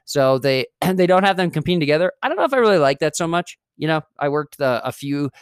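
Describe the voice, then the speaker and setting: A man's voice: fairly high pitch, lively intonation, quick pace, no room sound, studio quality. A man hosting his own podcast, talking solo in a chatty, rambling way and often correcting himself mid-sentence. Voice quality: smooth. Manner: chatty, digressive, self-correcting. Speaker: podcast host. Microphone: studio mic.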